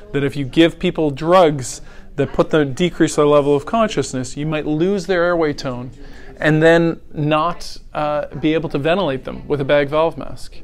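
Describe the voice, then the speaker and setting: Man, middle-aged, paramedic instructor, lecture, conversational, slightly high voice, rhetorical questions. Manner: conversational, asks rhetorical questions, acts out scenarios. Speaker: paramedic educator. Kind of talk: teaching lecture on airway decisions.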